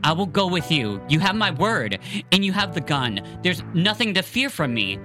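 A podcast host voicing a calm young soldier who speaks gently and earnestly. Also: medium pitch, moderate pace, music in the background, voice pushed up, lightly acted. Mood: pleading. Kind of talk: amateur read-aloud scene.

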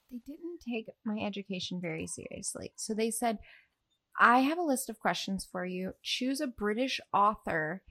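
A woman's voice: mid-range voice, a little slow. A woman co-hosting a podcast, chatting casually and animatedly, acting out quotes as she tells stories. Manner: animated, giggly, acts out quotes. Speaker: podcast co-host. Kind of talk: casual, gushing film chat.

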